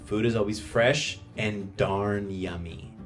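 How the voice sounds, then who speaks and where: A man's voice: lowish voice, takes it slowly, faint music behind. A male comedian guest, loose and joking as he plugs his shows in casual podcast chat.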